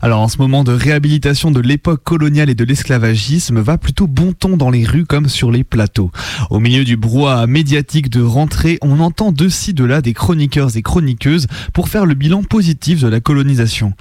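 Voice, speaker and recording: A male radio host with a French accent from France delivers scripted editorials in a sardonic, committed way. His voice sits at a middling pitch, recorded very dry and close in a studio. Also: fairly quick, a little mellow, no echo.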